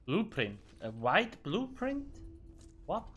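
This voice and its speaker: A man whose first language is Swiss German talks through his game stream in a bright, forceful voice set a little high. His commentary is loose and salty, full of muttered reactions between answers to chat. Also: huge pitch swings, slow pace, slightly rough, faint music behind. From Switzerland. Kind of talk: casual gameplay commentary.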